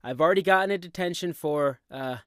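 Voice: medium pitch, brisk, no room sound, clean studio sound, lightly acted. An actor voicing a wimpy, bookish teenage boy in a cartoon comic dub, hesitant and self-deprecating. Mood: sheepish. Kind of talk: animated comic dub acting. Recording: music behind the voice.

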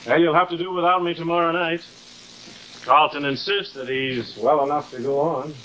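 An actor playing a suave, steady middle-aged gentleman friend in a polished, measured manner, in old live television drama style. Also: on the high side. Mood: courtly, admiring. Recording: noisy, hissy old television soundtrack.

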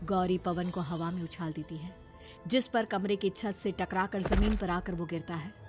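A woman's voice: lowish voice, fairly even intonation, normal speed, darkish tone, soft background music. A woman narrating a scripted horror story.

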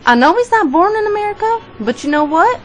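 A woman's voice: very high voice, very animated, fairly quick. An actress playing a candid, upbeat woman giving her approving opinion in an interview. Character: approving female person on the street.

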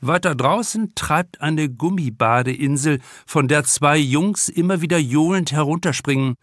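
A man's voice: fairly high pitch, unhurried, no echo. Man reading an audiobook, dry studio sound. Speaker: author reading own audiobook. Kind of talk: scripted narration, audiobook crime novel.

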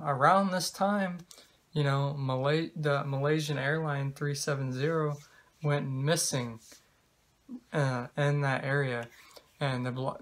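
Young man, flat halting delivery. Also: medium pitch. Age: 20s. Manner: halting, hesitant, trailing delivery. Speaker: vlogger. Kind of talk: casual rambling talk to camera. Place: small indoor bedroom.

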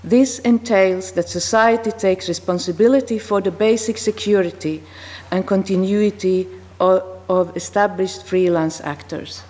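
A woman in her fifties with a Finnish accent speaks slowly and carefully into a desk microphone, using small fillers as she goes. Her voice sits in a middle range for a woman. It carries a long reverb from a large committee room. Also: mid-range voice, a little mellow. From Finland. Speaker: panel speaker. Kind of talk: prepared talk at a panel. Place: committee room in a parliament building.

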